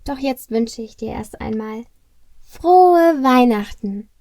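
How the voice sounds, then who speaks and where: A woman's voice: a little high, animated, slow, dry recording, studio quality. A woman voicing a tiny, grateful Christmas elf who whispers secretively in a children's audio play. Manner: hushed whispering.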